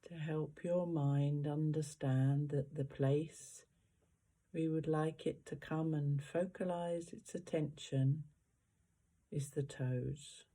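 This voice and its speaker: A meditation guide giving gentle, unhurried guided instructions with long pauses between phrases. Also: medium pitch, steady tone.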